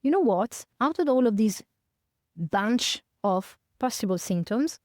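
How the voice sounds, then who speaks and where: A woman in her fifties from northern Italy with an Italian accent, a podcast guest speaking in English as a second language, thoughtful and pausing with many fillers and restarts. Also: a little high, lively intonation, a little mellow, no echo, studio quality. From northern Italy, near Milan. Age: 50s. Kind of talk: reflective conversational interview.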